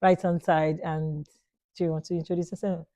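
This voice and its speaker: A woman hosts a relaxed panel chat in a low, mellow voice. She circles back on her words and leans on plenty of "um"s as she keeps the talk moving. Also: normal speed. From Nigeria. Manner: repetitive, hesitant with many fillers. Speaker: podcast host. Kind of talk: casual panel discussion.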